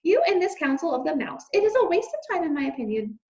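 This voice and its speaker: A woman voicing a dramatic, dismissive mother mouse who talks in a haughty, theatrical, high-pitched way. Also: big pitch swings, fast talker, darkish tone, exaggerated voice. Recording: lo-fi dull recording.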